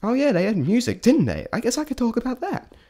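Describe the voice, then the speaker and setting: A man's voice: very high voice, wildly swooping pitch, fast, darkish tone. A man giving an unscripted spoken review in a rambling, hesitant way, often stammering and breaking off mid-thought. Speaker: amateur reviewer sharing opinions.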